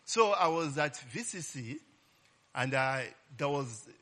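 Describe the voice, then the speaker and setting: A male preacher teaches from scripture in a loose, conversational way. His voice is bright and slightly high, swinging widely in pitch as he tosses "eh?" and "Hallelujah" to the congregation. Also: expressive, slow. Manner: call-and-response, frequent "eh?" tag questions; exclaims "Glory be to God" and "Hallelujah". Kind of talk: casual Bible teaching sermon.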